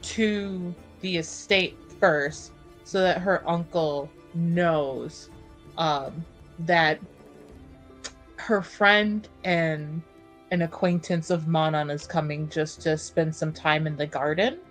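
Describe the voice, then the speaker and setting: A woman's voice: low-pitched, takes it slowly, faint music behind. A woman playing a tabletop roleplaying game, talking casually and improvising what her character does.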